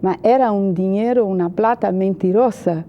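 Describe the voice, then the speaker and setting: A woman's voice: mid-range voice, normal speed, highs cut off. A Brazilian woman with a Brazilian Portuguese accent, a journalist and political analyst, answering interview questions in hesitant Spanish full of "eh" fillers.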